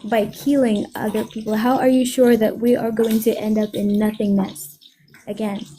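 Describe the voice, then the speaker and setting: A woman in her twenties with a soft voice, calling into a livestream, hesitant but politely insistent as she asks her questions. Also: fairly high pitch, level tone, normal speed. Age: 20s. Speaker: caller.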